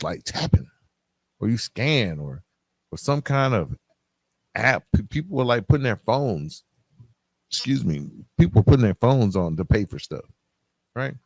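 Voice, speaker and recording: A man's voice: mid-range voice, vocal fry, no echo. A middle-aged man hosting a podcast, talking straight to listeners in a reflective, emphatic monologue, often checking in as he goes. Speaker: podcast host.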